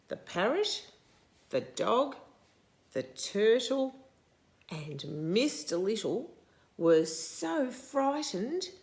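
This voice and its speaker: A woman in her sixties reads a picture book aloud to young children in an expressive, deliberate way, stopping to put questions to them. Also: on the high side. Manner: deliberate, animated, asking questions of young listeners. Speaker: storytime host reading a picture book to children. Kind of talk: expressive children's read-aloud with asides to the listener.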